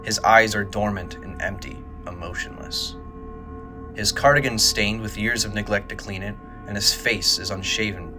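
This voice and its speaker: Low-voiced man narrating over music. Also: normal speed. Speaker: narrator, writer and director. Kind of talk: scripted audio drama narration.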